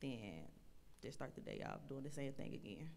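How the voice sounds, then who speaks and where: A young woman in her twenties with a low, gravelly voice answers briskly and matter-of-factly on a church panel. Faint room ambience sits behind her. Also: huge pitch swings, background noise. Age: 20s. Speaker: panel guest. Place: church sanctuary.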